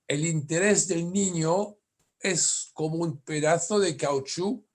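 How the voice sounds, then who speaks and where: A man from France with a French accent, whose first language is French, giving a conference lecture as a family lawyer in careful, halting speech with self-corrections. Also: on the high side.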